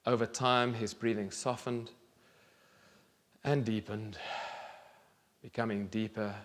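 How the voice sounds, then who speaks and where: A man in his thirties reads a children's story aloud on stage in a deliberate, expressive way with pauses. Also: lowish voice. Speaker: children's author reading aloud. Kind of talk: storybook reading to an audience. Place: large theatre stage, indoors. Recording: reverberant theatre hall.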